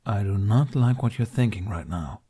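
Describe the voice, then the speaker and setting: A man's voice: lowish voice, expressive, normal speed, mellow, slightly rough. A man voicing a proud, protective, dryly sarcastic dragon in an audiobook, speaking in blunt, formal grumbles. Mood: deadpan irritation. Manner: grumbling, declarative, formal phrasing.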